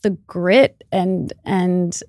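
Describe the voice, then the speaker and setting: A woman's voice: fairly low pitch, steady tone, drawn-out words, warm tone, no echo, clean studio sound. A woman from Iran, Persian her first language, chatting candidly as a podcast guest, often laughing and using fillers like "you know" and "like". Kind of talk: casual interview chat.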